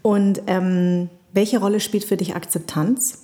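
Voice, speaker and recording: A woman from Germany hosting a podcast, reading a measured intro and asking clear questions. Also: medium pitch, fairly even intonation, mellow. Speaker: podcast host. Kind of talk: scripted intro then interviewing.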